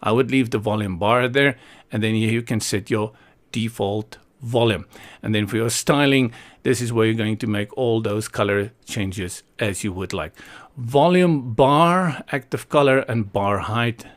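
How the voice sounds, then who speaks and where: A man from South Africa presents a casual software tutorial in an opinionated, playful way, thinking aloud as he goes. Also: fairly low pitch, a little slow.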